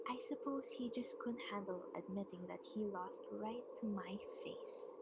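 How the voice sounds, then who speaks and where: Woman playing a slow-speaking vengeful vampire queen, noisy recording. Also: mid-range voice, lightly acted. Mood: gloating. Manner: slow, deliberate, taunting. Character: vengeful, mocking vampire queen gloating over captive. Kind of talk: ASMR roleplay acting.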